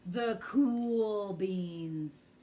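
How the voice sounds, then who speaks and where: A woman reading a children's story aloud with theatrical, deliberate phrasing and drawn-out emphasis. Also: mid-range voice, mellow. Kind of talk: expressive bedtime story reading.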